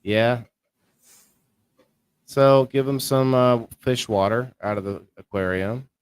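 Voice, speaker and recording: A man co-hosting a casual livestream about indoor growing, talking in a low-key, fairly monotone way. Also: slow, clear, resonant tone, no echo. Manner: low-key, self-described monotone delivery. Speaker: co-host of a live-streamed indoor growing show.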